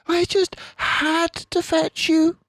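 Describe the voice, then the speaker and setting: A man's voice: very high-pitched, monotone, slow pace, a bit bright, no echo, voice pushed up, exaggerated voice. A man voicing a distraught female mouse housekeeper in a storybook, wailing as she talks. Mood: upset, wailing.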